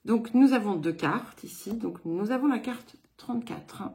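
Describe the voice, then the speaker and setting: A woman from England giving a card reading in French with a slight English accent, speaking in an unhurried, hesitant way with many pauses. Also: a little high, lively intonation, dark tone. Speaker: card reader giving a weekly guidance. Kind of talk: slow spoken tarot-style reading.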